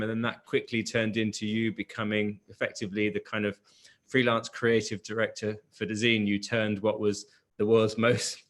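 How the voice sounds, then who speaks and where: A man's voice: fairly low pitch, fairly bright tone. Man, interview host, fluent questions, speaking from an office, video call. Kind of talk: live online interview.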